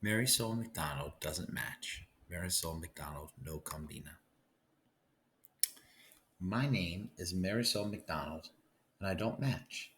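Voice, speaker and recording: A man's voice: low-pitched, a bit bright, slight background noise. A man speaking as a teacher in a recorded read-aloud lesson, addressing students directly and instructively.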